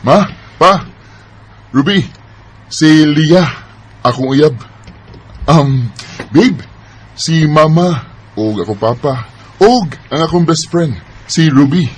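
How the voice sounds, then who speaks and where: Man acting a slow, swooping teasing young man. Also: on the high side, lively intonation, faint music behind. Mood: proud, excited. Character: easygoing young man, teasing best friend with a girlfriend. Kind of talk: Bisaya radio drama acting. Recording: faint background noise.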